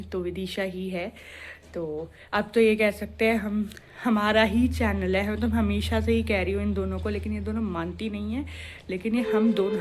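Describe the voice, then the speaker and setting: A young woman with a hoarse, sore throat, chatting casually to viewers in a daily vlog as she films on her phone. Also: mid-range voice, a little mellow. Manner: chatty, addresses viewers as guys. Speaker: vlogger and home tutor. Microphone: handheld phone.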